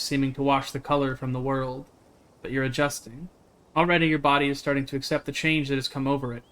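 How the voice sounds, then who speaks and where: A man's voice: mid-range voice, a bit bright. A man livestreaming a game, chatting casually in a jokey, slangy way and reading its text aloud. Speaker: game streamer.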